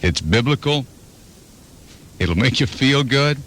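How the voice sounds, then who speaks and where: A man hosting a morning radio show, joking and telling stories in quick banter. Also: mid-range voice, animated, a bit bright. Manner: storytelling, playful, slips into voices. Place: radio studio. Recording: 1991 FM radio aircheck.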